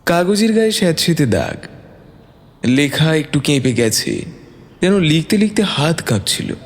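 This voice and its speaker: Male storyteller, lively dramatic narration, mid-pitched, reverberant sound with music underneath. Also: unhurried, a little hiss or hum.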